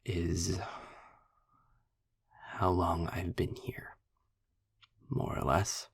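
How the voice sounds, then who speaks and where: Casting: male actor as a weary, sarcastic captive demon for audio roleplay; low, gravel-edged voice, dry eloquence, very close and intimate. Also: no echo, full character voice. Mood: weary, resigned. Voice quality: husky, breathy, gravelly low voice. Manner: dry, sardonic, articulate, deliberate. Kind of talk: audio roleplay, intimate slow monologue to the listener.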